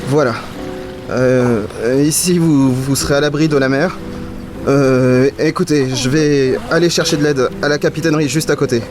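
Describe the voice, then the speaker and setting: A man's voice: mid-range voice, steady tone, moderate pace, close to an everyday voice. A man playing a kindly, hesitant dock worker in an audio drama, stumbling a little between phrases. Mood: concerned, helpful. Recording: music bed behind the voice.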